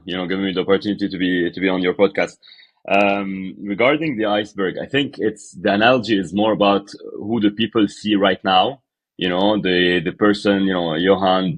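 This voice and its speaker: Deep-voiced young man from Lebanon. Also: dry recording, rough recording. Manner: hesitant, many fillers and restarts. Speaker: podcast guest. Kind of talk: casual interview storytelling.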